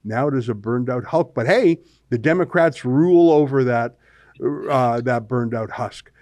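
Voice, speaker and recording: A man's voice: mid-range voice, normal speed, a little mellow, no echo. A Canadian man hosting an opinionated political talk show, speaking emphatically with colourful, dramatic phrasing. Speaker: host of a political commentary show.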